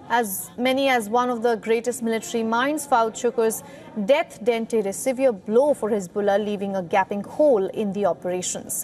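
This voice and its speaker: A woman's voice: fairly high pitch, darkish tone. A woman reporter reading a scripted news report in a narrator's delivery.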